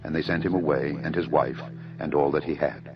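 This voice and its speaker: A man giving a dramatised scripture narration in a solemn, measured storytelling manner. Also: extremely low pitch, steady tone. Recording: dull lo-fi audio under music. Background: background music.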